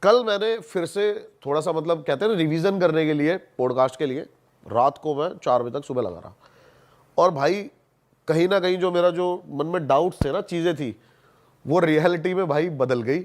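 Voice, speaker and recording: Emphatic, higher-voiced man. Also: moderate pace. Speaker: podcast guest. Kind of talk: casual podcast chat, storytelling and explaining.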